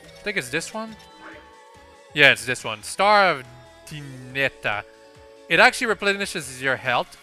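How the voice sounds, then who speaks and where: A man's voice: medium pitch, bright, forceful tone. A man hosting a casual video game playthrough, thinking aloud in a hesitant, rambling way. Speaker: gaming video host. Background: video game music.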